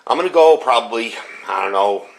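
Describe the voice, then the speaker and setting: A man's voice: medium pitch, animated, a little fast. A man giving a casual hands-on gear review indoors, explaining things in a rambling, informal way. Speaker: product reviewer. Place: indoors at home.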